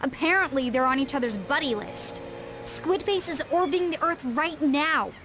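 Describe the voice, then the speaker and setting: A young cartoon game girl with a sharp tongue and a teasing, feisty manner. Her voice is extremely high, set over loud music. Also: expressive, moderate pace. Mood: dry teasing. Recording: loud music bed behind.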